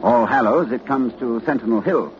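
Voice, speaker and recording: Muffled old radio drama, heavy noise, male actor as grave anxious doctor, urgent, halting, dramatic. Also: medium pitch, close to an everyday voice. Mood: solemn, dread. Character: grave, anxious learned doctor fighting a monster. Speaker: narrator and lead actor. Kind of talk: 1940s radio drama acting.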